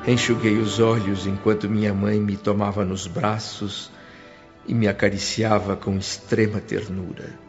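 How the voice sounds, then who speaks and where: A Brazilian man playing a reverent, deeply moved son, narrating his memories gently in a radio soap opera. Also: level tone, measured pace. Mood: moved, reflective. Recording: dull lo-fi radio drama with music.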